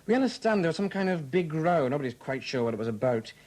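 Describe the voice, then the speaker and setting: A man's voice: fairly high pitch, very animated, quick pace, a little mellow. A man acting a polite, dutiful police sergeant who defers to his superiors in a 1970s radio drama. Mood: deferential, earnest.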